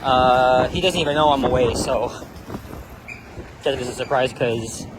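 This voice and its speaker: A man's voice: medium pitch, unhurried. Chatty man walking a city street. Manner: chatty, rambling, restarts phrases often. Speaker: walking tour vlogger. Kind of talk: casual walking commentary. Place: busy city street outdoors. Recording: street traffic noise behind.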